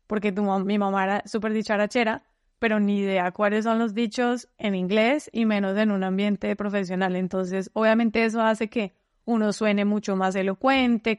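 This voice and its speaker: A woman's voice: mid-range voice, no room sound, studio quality. A Colombian woman talking as a podcast guest in casual conversation, often restarting phrases and using fillers. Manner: talkative, frequent restarts and fillers. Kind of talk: casual interview chat.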